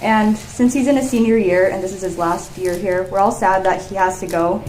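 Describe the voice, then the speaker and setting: A woman's voice: medium pitch, fairly even intonation, moderate pace, darkish tone. A young woman giving an informal thank-you speech in an echoey room with background noise. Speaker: student cast member giving thanks.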